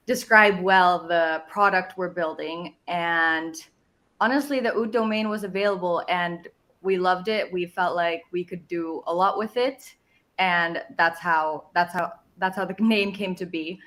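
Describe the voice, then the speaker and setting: Relaxed young woman from Finland. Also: fairly low pitch. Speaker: guest, company co-founder. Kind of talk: interview answers. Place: home room, video call. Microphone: video call webcam.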